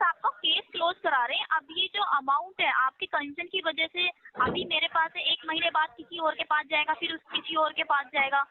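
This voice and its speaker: A woman working as a loan recovery call-centre agent, talking persuasively and insistently on the phone, explaining politely and often checking for agreement. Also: extremely high pitch. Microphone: telephone line. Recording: tinny phone call recording, faint music.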